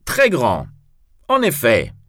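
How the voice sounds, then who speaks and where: A man's voice: medium pitch, huge pitch swings, slow, no echo, clean studio sound, voice pushed up, exaggerated voice. A man voicing a proud, bold, mocking swordsman in a grandiloquent storybook style. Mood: cool mockery. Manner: grandiloquent, taunting.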